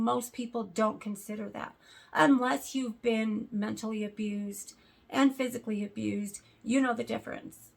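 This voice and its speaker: A young adult woman with a sore throat and a raspy voice, talking earnestly to camera in a casual vlog with lots of fillers. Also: a little high. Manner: earnest, hesitant, many fillers. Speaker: vlogger.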